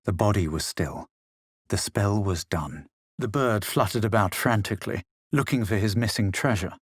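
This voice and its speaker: Haughty game hero, crisp-edged drama. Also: male voice, on the low side, no room sound, clean studio sound. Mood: grave narrating. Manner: superior, coolly dramatic, measured delivery. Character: haughty, self-assured adventurer hero, adult man. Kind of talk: video game character voice lines.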